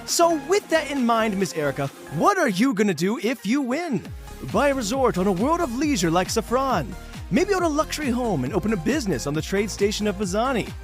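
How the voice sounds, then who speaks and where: Flamboyant, high-pitched cynical game show host. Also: male voice, big pitch swings, soft background music. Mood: slick, mocking. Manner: theatrical, condescending, drawn-out showy delivery. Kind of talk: bombastic TV game show presenting.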